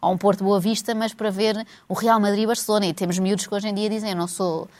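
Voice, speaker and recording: A woman's voice: mid-range voice, fast. A woman with a European Portuguese accent, co-hosting a casual chat and ranting sarcastically about football and money. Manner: sarcastic, animated rant.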